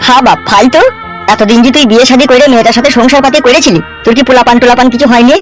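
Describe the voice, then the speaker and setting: A man's voice: very high-pitched, steady tone, rapid-fire, faint music behind. A man voicing an older village uncle in a comedy cartoon, gossipy, commiserating and grumbling. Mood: sympathetic.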